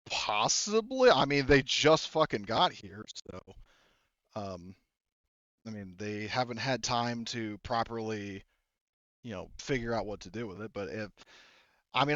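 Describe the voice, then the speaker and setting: A man's voice: medium pitch, animated, a bit bright, no echo. Guy game mastering, stop-start casual narration, bit dull dry sound, swears a little. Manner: halting, conversational, casually profane.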